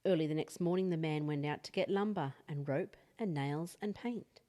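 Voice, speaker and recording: A woman reading a picture book aloud as an expressive children's storyteller. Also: deep voice, moderate pace. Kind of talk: expressive read-aloud bedtime story narration.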